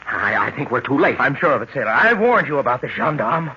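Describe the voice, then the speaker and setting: An actor playing a somber, shocked man, speaking gravely and tersely in old-time radio style. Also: medium pitch, very animated, fast, pushed and bright, a little hiss or hum. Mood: uneasy. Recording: muffled old radio recording.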